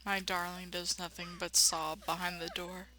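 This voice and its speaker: Bright-voiced woman narrating a game. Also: lowish voice, normal speed, slight background noise, low-quality recording. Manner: animated, chatty, folksy mild exclamations. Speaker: let's player. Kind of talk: game commentary mixed with dramatic read-aloud narration.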